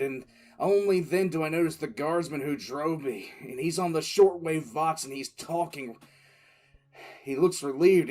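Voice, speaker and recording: A battered but fiercely dutiful commissar , played by a man, tells of war in an audio drama. His voice sits a little high, and he speaks intensely and haltingly, repeating phrases. Music plays behind him. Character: battered, fiercely dutiful male military commissar recounting battle. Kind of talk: first-person audio drama narration. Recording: background music under the voice.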